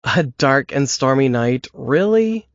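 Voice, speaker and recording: A woman's voice: very deep voice, lively intonation, takes it slowly, dry recording, pitched below the performer's own voice, full character voice. A woman voicing a fifteen-year-old boy hooked on video games, speaking in a raised, breezy, eager way. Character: fifteen-year-old boy, game-obsessed, good-natured. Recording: dry, dull lo-fi podcast audio.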